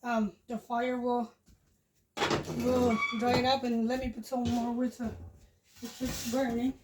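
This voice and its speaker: A woman's voice: on the high side, little pitch movement, unhurried, heavy background noise. A woman in her forties from Mexico with a Spanish accent, chatting casually and rambling as she washes dishes in her home kitchen, recorded on a nearby phone. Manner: rambling, restarts sentences, chuckles often. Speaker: homestead vlogger. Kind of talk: casual chat while doing chores. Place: home kitchen by a wood stove. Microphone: phone camera propped nearby.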